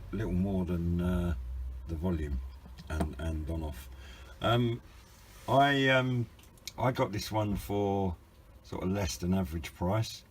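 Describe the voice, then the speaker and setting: British male hobby reviewer, low-pitched, hesitant and understated, some noise in the recording. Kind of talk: informal unboxing and review narration.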